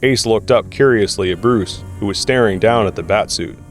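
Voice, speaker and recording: A man's voice: on the low side, moderate pace, soft background music. A man giving scripted story narration as the narrator of an audio drama.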